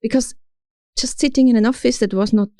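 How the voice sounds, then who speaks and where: A middle-aged woman from Austria with an Austrian accent, telling her story as an interview guest, halting now and then as she searches for words in English. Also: fairly high pitch, steady tone, dark tone, no echo, studio quality. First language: German. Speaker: podcast guest, city official. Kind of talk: conversational interview, personal storytelling.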